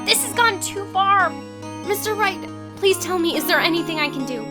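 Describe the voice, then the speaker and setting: A woman's voice: very high voice, expressive, normal speed. A woman playing a plucky, impulsive, guileless teenage girl in a video game dub. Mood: indignant. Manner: eager, indignant, guileless. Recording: clear music behind the voice.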